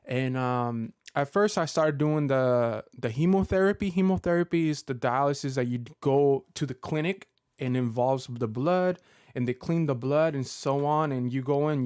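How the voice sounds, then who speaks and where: A man in his thirties giving a personal vlog update to his viewers, talking in a halting way with many fillers and restarts. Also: mid-range voice, a little mellow, smooth, no echo, clean studio sound. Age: 30s. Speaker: Pokemon content creator.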